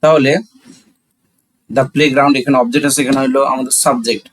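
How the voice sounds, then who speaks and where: A man's voice: mid-range voice, fairly even intonation, normal speed. Bangladeshi male teacher explaining step by step. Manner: instructional, asks and answers own questions, switches between Bengali and English. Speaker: English grammar teacher. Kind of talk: classroom-style lesson, explaining step by step.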